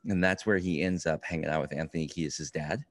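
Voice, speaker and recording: A man in his forties with a deep, low voice in a dry-sounding room. He talks like a podcast co-host in casual conversation, circling his thoughts, stopping and starting, and cursing freely. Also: steady tone, no echo. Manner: rambling, halting, profane. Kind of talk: casual book review chat. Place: indoor room, remote video call.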